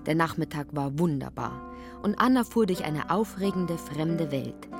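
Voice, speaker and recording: A woman's voice: low-pitched, lively intonation, unhurried, gravelly. A woman reading scripted literary narration as the narrator of a radio drama. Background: guitar music.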